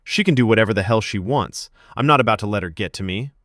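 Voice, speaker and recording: Male narrator as young drama student: composed, protective, casual delivery, lowish voice, lively inflection. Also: fast talker, no echo, clean studio sound. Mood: angry. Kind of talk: acted audiobook dialogue.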